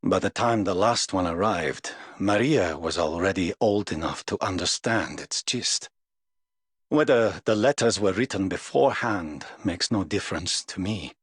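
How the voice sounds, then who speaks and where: A world-weary knight of few illusions, speaking in a very low, husky register. His lines come out measured and dry. The studio sound is close and dead. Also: lively intonation, no echo, exaggerated voice. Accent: English. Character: weary aloof middle-aged male knight. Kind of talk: game voice lines.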